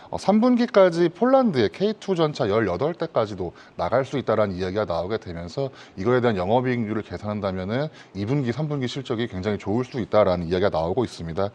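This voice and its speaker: A man's voice: medium pitch, darkish tone. A man giving stock commentary as a guest analyst on a broadcast, speaking in a formal, polite, hedging manner.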